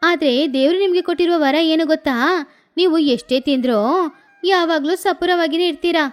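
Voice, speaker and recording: A woman voicing a young wife and daughter-in-law who talks back slowly and tartly, over faint music. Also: very high-pitched. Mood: retorting.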